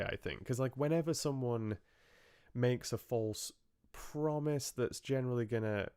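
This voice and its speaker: A man's voice: lowish voice, darkish tone, smooth, dry recording, clean studio sound. A man hosting a live book club, chatting casually in a rambling, jokey way about stories. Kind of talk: casual chat, musing on fairy tales with live chat.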